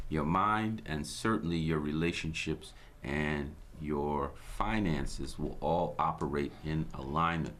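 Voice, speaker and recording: A man from Guyana hosting his own broadcast, giving a measured, preacherly inspirational talk with scripture quotes. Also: extremely low pitch, fairly bright tone, slight background noise. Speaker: host.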